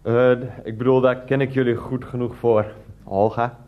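A man's voice: mid-range voice, little pitch movement, moderate pace, muffled recording, natural voice. An actor playing a bumbling, evasive man in a radio comedy drama, stammering and placating as he goes. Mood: hesitant, flustered.

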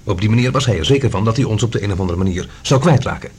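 A man acting a suspicious expedition member who reasons deliberately in a science-fiction radio drama. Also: lowish voice, darkish tone, breathy edge. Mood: suspicious, reasoning.

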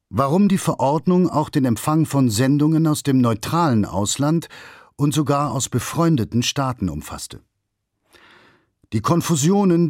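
A man with a medium-pitched voice narrates a history documentary. He reads in a measured, factual manner, with formal articulation, in a very dry recording. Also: darkish tone, no room sound. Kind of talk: scripted narration.

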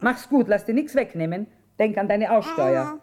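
An actress playing a shrewd, hard-bitten middle-aged war trader in a radio drama, earthy and plain-spoken. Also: female voice, medium pitch, big pitch swings, moderate pace, darkish tone, close to an everyday voice. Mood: coaxing, brisk. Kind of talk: stage-style German radio drama acting.

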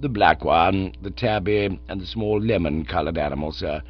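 A man voicing a calm, deferential male valet who speaks quietly and respectfully in an audiobook. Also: deep voice, normal speed, lo-fi sound, voice pushed down.

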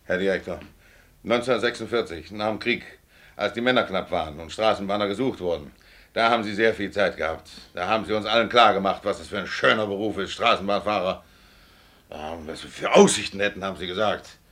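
A man's voice: sits low, quick pace, a bit bright. A man playing a stubborn, indignant working tram driver in a German radio play, blunt, emphatic and colloquial. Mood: reproachful. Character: stubborn, indignant dismissed male tram driver fighting for his job.

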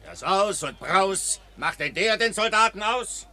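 Snooty cavalry soldier, lively bright voice, teasing. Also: male voice, high-pitched, unhurried, heavily acted. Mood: haughty. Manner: haughty, teasing. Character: proud, snobbish cavalry soldier, man. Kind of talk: classic radio drama.

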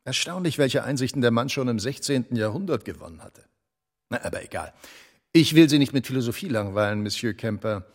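Husky, condescending office boss. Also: male voice, mid-range voice, expressive, fairly quick. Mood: irritated, smug. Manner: patronizing, clipped, formal. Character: officious, condescending French male department boss. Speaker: radio drama actor. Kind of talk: radio play dialogue.

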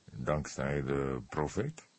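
A man's voice: rumbling low, expressive, darkish tone, breathy edge, no room sound, pitched below the performer's own voice, exaggerated voice. Man als vermoeide rechercheur, diep en hees. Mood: resigned, probing. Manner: measured, terse, resigned questioning. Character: weary, probing older male police detective. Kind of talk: audiobook character dialogue.

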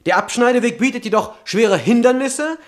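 Ein Schauspieler spielt in einem deutschen Hörspiel einen vorlauten jungen Drehbuchautor mit schwungvoller, flapsiger Art. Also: male voice, very high-pitched, brisk. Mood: excited pitching. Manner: animated, showy, flippant. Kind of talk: German radio drama acting.

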